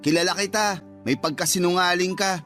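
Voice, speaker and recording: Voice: medium pitch, fairly even intonation, brisk, a bit bright, soft background music, lightly acted. A performer playing an outspoken, accusing younger brother arguing in a radio drama. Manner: blunt, argumentative. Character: outspoken, accusing younger son, a teenage sibling. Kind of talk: Tagalog radio drama acting.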